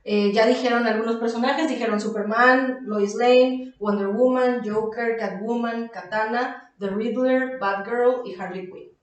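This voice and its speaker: Echoey higher-voiced Mexican woman podcaster. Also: fairly even intonation, measured pace, few pauses, highs cut off. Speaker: podcast co-host. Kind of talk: casual chat about comics and audio drama. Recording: very echoey room, far from mic.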